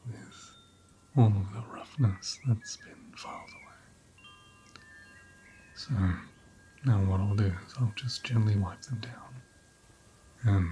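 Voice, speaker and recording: A man playing a gentle, patient ram caretaker in an ASMR roleplay, speaking softly and breathily, often in a whisper, close to the mic. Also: fairly low pitch, slight background noise. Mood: soothing, attentive. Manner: unhurried, gently reassuring, attentive. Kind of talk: ASMR roleplay, soft personal attention. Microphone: close ASMR microphone.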